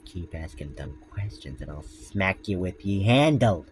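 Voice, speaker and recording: A man voicing a gruff pirate fox animatronic who is tough but soft-hearted, speaking low and quietly. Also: lively intonation, a little slow, a little hiss or hum. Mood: scornful. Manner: growling, insulting, low and quiet.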